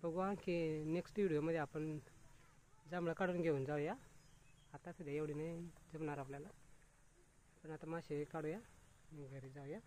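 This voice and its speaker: A man's voice: on the high side, a little hiss or hum, low-quality recording. A young man casually talking to his viewers while picking fruit and checking fish nets by a rural river, filmed on a handheld phone. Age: young adult. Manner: chatty, repeatedly addressing friends, relaxed pauses. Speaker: fishing vlogger. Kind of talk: casual vlog talk to viewers. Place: outdoors by a rural river among trees. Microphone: phone camera held at arm's length.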